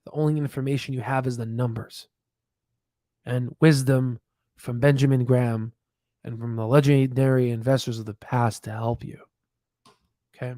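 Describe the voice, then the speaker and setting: A man in his thirties hosting a livestream on stock analysis, slightly hoarse, animated and emphatic, repeating points, speaking close into a boom mic in a home studio. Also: normal speed, long pauses, no room sound. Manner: animated, emphatic, repeats phrases, drops to whispers. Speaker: stock channel host. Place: home studio room, indoors. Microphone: podcast mic on boom, close.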